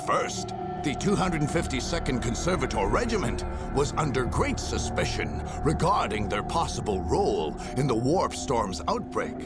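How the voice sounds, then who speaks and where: A man performing as a solemn war chronicler, narrating a grim sci-fi game in a grave, deliberate, theatrical manner with a gravelly voice. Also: medium pitch, sing-song, natural voice. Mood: solemn, authoritative. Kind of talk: dramatic scripted game narration. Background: music behind the voice.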